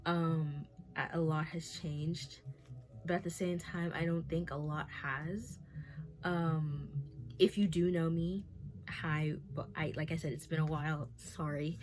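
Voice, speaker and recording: Nervous young woman with a low voice. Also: steady tone, a little slow, darkish tone, poor audio. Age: 20s. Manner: nervous, rambling and confessional, many fillers. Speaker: vlogger. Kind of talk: candid talking-to-camera update.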